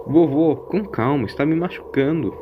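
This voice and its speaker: Man doing a high-pitched, questioning young girl. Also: moderate pace, dull audio, noisy background, lightly acted. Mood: weary complaint. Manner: pleading, questioning. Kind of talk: audio drama character voice.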